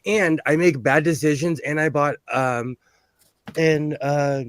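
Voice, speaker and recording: A livestream guest chatting casually in a halting, self-deprecating way. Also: mid-range voice, dry recording, studio quality. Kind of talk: casual chat.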